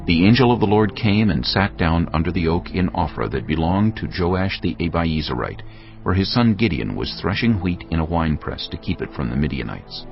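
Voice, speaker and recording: A man's voice: sits low, lively intonation, moderate pace, creaky. A man narrating a dramatized reading of scripture as a scripted storyteller. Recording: muffled audio with faint music.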